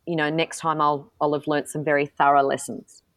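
A woman's voice: sits low, flat delivery, brisk, no room sound. A middle-aged woman, a politician being interviewed, who talks frankly and with self-assurance, often restarting her sentences. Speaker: politician and guest. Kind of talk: candid interview answers.

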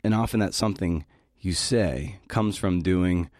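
Deep-voiced man giving a podcast talk. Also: unhurried. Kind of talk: conversational scripted talk.

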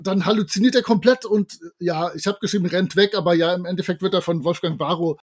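A man with a high voice that has a husky grain to it. He co-hosts a podcast and chats casually. His storytelling is colloquial and playfully ironic. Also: quick pace. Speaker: podcast co-host. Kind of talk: casual chat retelling and reviewing an audio drama.

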